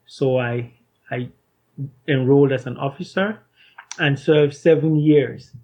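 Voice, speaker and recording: A man in his thirties from Cameroon, a startup founder, answering interview questions in a measured, earnest way. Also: mid-range voice, mellow. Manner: measured, earnest, some restarts.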